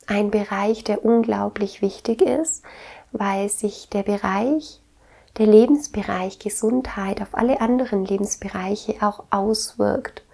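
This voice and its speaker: Female wellness podcaster, stuffed-up nose, soft-toned with vocal fry, earnest reflective monologue addressed to one listener. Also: medium pitch. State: congested with a cold, stuffy nose. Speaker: podcast host.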